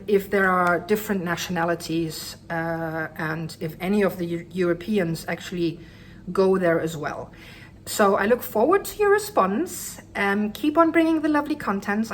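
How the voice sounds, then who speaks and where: A woman in her fifties recording a friendly video question, speaking carefully and a little haltingly. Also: medium pitch, a little hiss or hum. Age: 50s. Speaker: viewer sending a video question.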